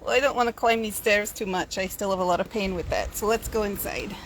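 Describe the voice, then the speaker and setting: A woman with a Scottish-sounding accent talks casually to a handheld camera at home. Her voice sits at a medium pitch, and she wanders through small self-deprecating asides. There is clear background noise behind her. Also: fast, poor audio. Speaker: home vlogger. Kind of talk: casual rambling chat. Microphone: handheld camera or phone.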